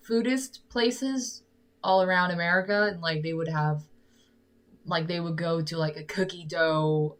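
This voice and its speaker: A woman's voice: lowish voice, fairly bright tone. A young woman from South Korea whose first language is Korean, chatting casually on a live stream in English and filling her rambling sentences with "like". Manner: rambling, fills nearly every phrase with "like". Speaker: singer-songwriter streamer.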